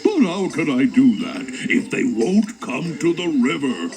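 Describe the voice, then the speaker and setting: An actor playing a huge, lonely crocodile in a children's read-along story, with a plaintive, pitiful delivery. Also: male voice, a little high, very animated, unhurried, darkish tone.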